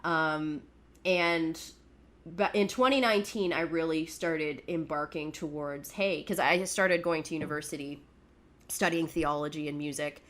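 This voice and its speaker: A woman's voice: low-pitched, measured pace. A young woman from Canada, co-hosting a podcast and telling her life story in a candid, chatty way, often laughing at herself. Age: young adult. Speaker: podcast co-host. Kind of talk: casual personal storytelling.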